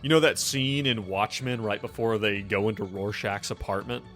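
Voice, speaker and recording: A man with a fairly low voice improvises narration as a tabletop game master. His delivery is informal and halting, with teasing asides to the players. Soft music plays underneath him.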